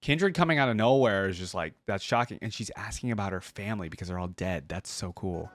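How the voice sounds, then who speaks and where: A man's voice: low-pitched, quick pace, fairly bright tone, dry recording. A man hosting a reaction video, giving casual, laid-back commentary with lots of "like".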